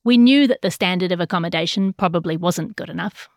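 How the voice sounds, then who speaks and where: A woman's voice: lowish voice, brisk, dry recording. A woman with a New Zealand accent reads a written news feature as a journalist, in scripted narration.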